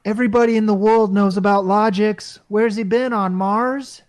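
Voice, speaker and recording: A man's voice: sits high, fairly even intonation, moderate pace, natural voice. A man playing a wry, cocky, down-to-earth repairman hero in a retro radio comedy. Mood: wisecracking. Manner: wisecracking, casual.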